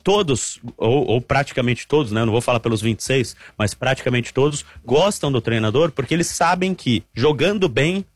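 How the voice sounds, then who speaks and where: A man's voice: medium pitch, fairly quick, hardly any pauses, no room sound. A middle-aged Brazilian man reporting on the national team, chatty and explanatory, repeating points for emphasis. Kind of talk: live analysis on a football talk show.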